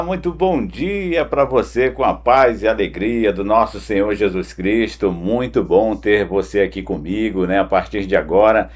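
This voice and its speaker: A man's voice: lowish voice, darkish tone, husky. A man from Brazil, hosting a conversational morning devotional in an earnest, direct way and often adding tag questions. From Pará, northern Brazil. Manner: earnest, direct address with frequent "né" tags. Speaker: host of a morning devotional, former broadcaster. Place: home studio.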